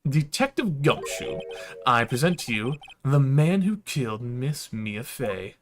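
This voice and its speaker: A man voicing an arrogant, threatening businessman villain from a game, with pompous, drawn-out sneering. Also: medium pitch, sing-song, a little hiss or hum. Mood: triumphant, scornful.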